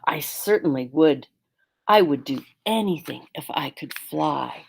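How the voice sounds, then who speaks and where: A woman's voice: low voice, animated, a little slow, gravelly, slightly rough, no echo, exaggerated voice. Woman reading as an earnest little boy. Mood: dreamy, eager. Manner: earnest, polite. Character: kind eager little boy who dreams of flying.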